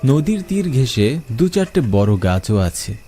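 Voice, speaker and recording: A young man narrating a first-person horror story, expressive and asking questions as he goes. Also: medium pitch, measured pace, soft background music.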